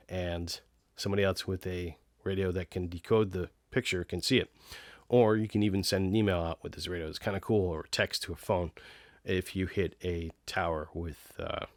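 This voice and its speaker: A man's voice: sits low, no echo, clean studio sound. A man hosting a casual product review, explaining technical details in an informal way. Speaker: video host reviewing radios.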